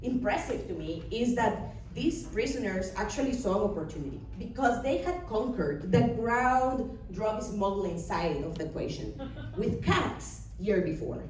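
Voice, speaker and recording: A woman's voice: medium pitch, big pitch swings, measured pace. Woman with a Costa Rican accent doing stand-up. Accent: Costa Rican Spanish. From Costa Rica. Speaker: stand-up comedian. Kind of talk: stand-up set. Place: comedy club stage. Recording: far-off echoey room with background noise. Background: audience laughter and ambience.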